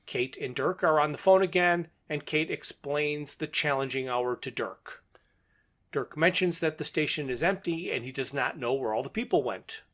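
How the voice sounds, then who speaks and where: A man's voice: on the high side, normal speed, fairly bright tone. A man co-hosting a podcast, recapping a plot in a methodical, matter-of-fact way. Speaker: podcast co-host.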